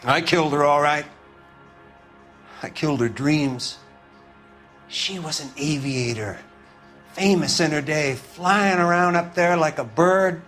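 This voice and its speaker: An American actor playing a meek, beaten-down mechanic and bitter husband in a video game crime drama, halting and defensive. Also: male voice, fairly high pitch, fairly bright tone.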